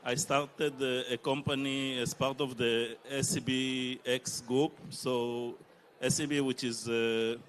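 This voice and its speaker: A man, answering on a conference panel with many hesitant pauses. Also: medium pitch, flat delivery. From Israel. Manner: halting, many filler pauses. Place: conference stage.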